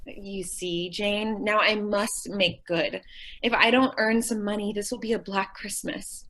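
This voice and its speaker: A woman's voice: mid-range voice, steady tone, unhurried, fairly bright tone. A woman playing a sweet, naive, scatterbrained young shop clerk in an old-time radio comedy, earnest and guileless in her delivery. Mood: plaintive, determined. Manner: earnest, guileless, literal-minded.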